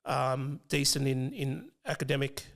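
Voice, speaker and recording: A young man with an Australian accent, whose first language was Fijian, talking casually as an interview guest, hesitant with many pauses and restarts. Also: medium pitch, animated, vocal fry. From Western Sydney, Australia. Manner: hesitant, many ums and restarts, laughs easily. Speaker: financial planner guest.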